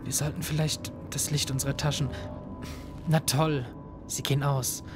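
A man's voice: on the high side, level tone, moderate pace, natural voice. A young man playing a student searching a ghost town for missing friends, talking tensely and urgently. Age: young adult. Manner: tense, urgent, shouts in danger. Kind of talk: fan audio drama acting. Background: loud horror music.

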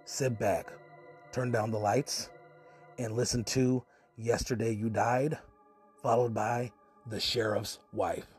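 A man's voice: mid-range voice, steady tone, takes it slowly, pauses often, a little mellow, husky, soft background music. A man presenting a radio horror programme with a scripted introduction. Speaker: show presenter.

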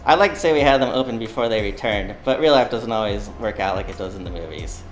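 Low-voiced confident man in a roomy lab. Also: fast, faint music behind. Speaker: company spokesman. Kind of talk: scripted open letter reading. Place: indoor lab with computers.

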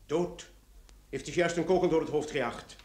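A man playing an excitable, wry police commissioner in an old Dutch radio drama, with a theatrical delivery. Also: a little high, normal speed, natural voice. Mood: relieved, urgent.

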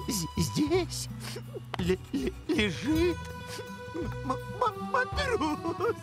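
Young cartoon sailor boy, sentimental, tearful, stammering, extremely slow, high-pitched. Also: huge pitch swings, loud music behind the voice, heavily acted. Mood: grieving. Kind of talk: animated cartoon acting.

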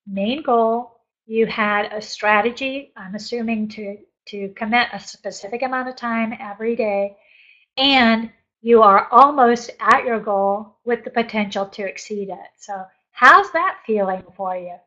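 A woman's voice: on the high side, fairly bright tone, highs cut off. A woman in her sixties coaching a group on a live webinar, upbeat and encouraging as she reads chat messages aloud and responds. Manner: upbeat, encouraging, laughs often. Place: home office with bookshelves.

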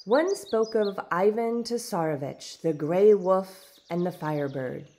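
A woman reading a storybook aloud in expressive, deliberate, dramatic narration. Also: mid-range voice. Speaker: storybook reader. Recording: background noise with birdsong.

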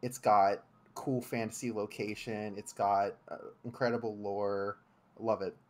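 A man's voice: on the low side, little pitch movement, a little slow. A young man streaming a game from his home room, chatting casually with plenty of fillers and restarts. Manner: rambling, many fillers and restarts. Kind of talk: casual chat while gaming.

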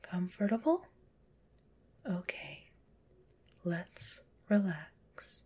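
A woman guides a relaxation in a very breathy whisper. Her delivery is slow and gentle, pitched on the low side. She sounds a little stuffed up in the nose, soothing throughout. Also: big pitch swings. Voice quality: stuffy-nosed. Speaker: guided visualization narrator.

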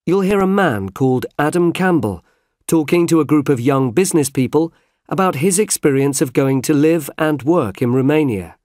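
A man reading out unit numbers and task instructions in a clear, neutral announcing style. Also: fairly high pitch, lively intonation, moderate pace, a little mellow, no echo, studio quality. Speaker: exam audio announcer. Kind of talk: scripted instructions.

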